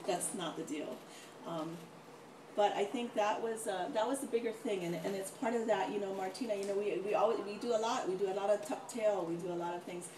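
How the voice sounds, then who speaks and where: A middle-aged woman speaking informally as a doctor on a panel, telling anecdotes in an animated way and acting out what people said. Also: mid-range voice, brisk. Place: large echoey room, event with audience. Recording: echoey, distant mic, noisy room.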